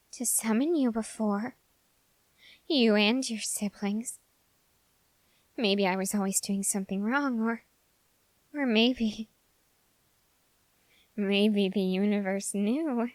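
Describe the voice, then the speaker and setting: An obsessive young male soldier, voiced by a woman, speaks slowly and close in a very dry recording. He is intense and halting, with formal phrasing, in an intimate ASMR roleplay. His voice sits fairly high for the part. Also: darkish tone, no echo, poor audio. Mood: awed, excited.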